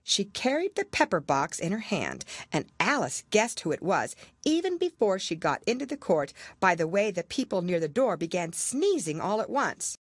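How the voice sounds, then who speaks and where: A woman reads storybook narration as an audiobook. Her medium-pitched voice moves with very wide, lively intonation, and the sound is dry and close. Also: normal speed, few pauses, dry recording. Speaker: audiobook reader.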